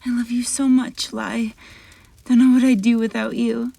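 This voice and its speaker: A woman's voice: a little high, level tone, normal speed, gravelly, warm tone, a little hiss or hum. A woman playing an anguished, fragile mother in a fantasy audio drama, fraught and emotional. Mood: shaky tenderness.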